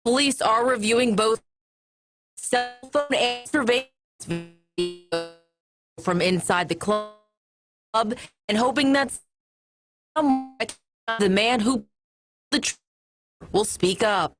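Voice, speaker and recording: A woman's voice: on the high side, slow, long pauses, music in the background. An American woman delivering a scripted television news report as a field reporter.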